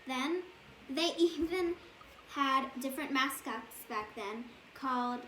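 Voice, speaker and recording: A woman's voice: high voice, slow, sharp tone. A woman reading a bedtime story aloud, stumbling a little over words and correcting herself. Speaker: storybook reader. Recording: echoey room with faint background noise.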